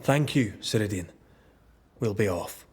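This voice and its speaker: An actor playing a wry, reluctant ghost hunter in a video game, with a husky, gravelly voice and dry, sardonic manner. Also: male voice, lowish voice, expressive, measured pace, a little mellow. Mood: wry, curious. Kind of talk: dramatic video game acting.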